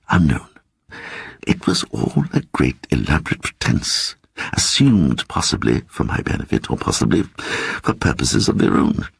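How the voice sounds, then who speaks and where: An actor voicing a timid little man who earnestly and softly recounts an eerie adventure in a dramatic audiobook reading. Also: low voice, vocal fry, slightly rough, no room sound, clean studio sound, heavily acted. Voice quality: soft voice. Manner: hesitant, earnest, growing excited.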